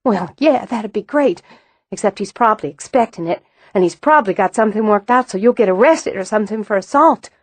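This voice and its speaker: A woman narrator voicing an earnest young mother who talks plainly and bluntly, a bit higher than her narration. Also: expressive, a little fast, no room sound. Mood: urgent.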